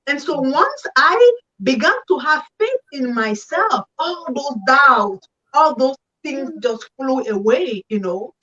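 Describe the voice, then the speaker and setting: A woman with a French accent gives a business interview in an earnest, repetitive way. Her voice sits a little high. She sounds distant and muffled, like a far-off call. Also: a bit bright. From French-speaking. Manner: earnest, repeats herself. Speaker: business coach, guest. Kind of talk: interview over video call.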